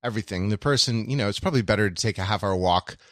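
A middle-aged man co-hosting a podcast, chatting casually and reflectively, halting often as he searches for words. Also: on the low side, no room sound, clean studio sound. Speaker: podcast co-host.

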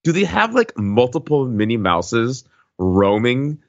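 A man chatting casually as a podcast co-host, halting and hedging, often wandering into asides. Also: mid-range voice, big pitch swings. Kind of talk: casual chat. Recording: slightly dull, lo-fi audio.

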